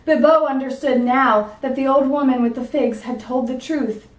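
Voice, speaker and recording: A woman's voice: high voice, steady tone, normal speed. Woman teacher reading a storybook aloud. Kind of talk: reading a picture book aloud. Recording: roomy sound from a distance.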